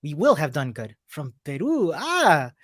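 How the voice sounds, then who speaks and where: A woman's voice: sits low, wildly swooping pitch, measured pace. Upbeat woman teaching a live coding class. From Chile. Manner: upbeat, chatty, greets viewers by name and place. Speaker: coding instructor. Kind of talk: live-streamed coding lesson.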